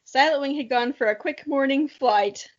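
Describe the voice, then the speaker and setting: High-voiced woman co-hosting a comedy podcast. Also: moderate pace, lo-fi sound. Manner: self-mocking, giggly. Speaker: podcast co-host. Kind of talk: dramatic reading of childhood story with joking asides.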